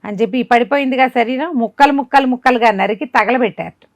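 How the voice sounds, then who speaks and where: A woman's voice: on the high side, quick pace, no room sound. Woman storyteller, close dry sound. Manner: conversational, asks rhetorical questions as she narrates. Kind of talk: informal spoken telling of a folk tale.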